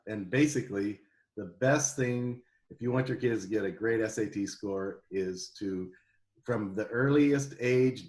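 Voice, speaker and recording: A middle-aged man speaking as an interview guest, telling personal stories in an animated, conversational way. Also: medium pitch. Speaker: guest, homeschooling parent.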